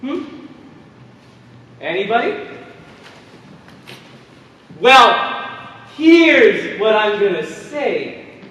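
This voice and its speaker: Stage play: male actor as patronising, quietly menacing authority figure, high-pitched and very slow, distant in a reverberant hall. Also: sing-song, full character voice. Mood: condescending, menacing. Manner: patronising, drawn-out, theatrical. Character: condescending male overseer of girls, saccharine, quietly menacing. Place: theater stage, distant microphone. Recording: echoey noisy theater hall from far off.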